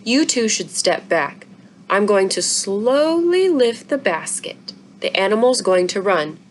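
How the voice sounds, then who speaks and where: A stern, practical dad from a children's storybook read by a woman, explaining things firmly and in a measured way. There is clear noise behind the voice. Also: medium pitch, big pitch swings. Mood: cautious. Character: stern, practical father trying to keep calm. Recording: noisy room recording.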